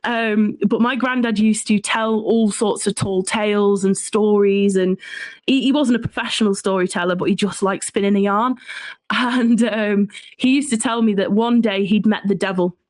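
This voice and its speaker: A woman's voice: medium pitch, steady tone, normal speed, no room sound. Englishwoman chatting in a dry, close recording. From Shropshire, England. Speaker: guest, folklore writer and researcher. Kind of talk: conversational interview, storytelling.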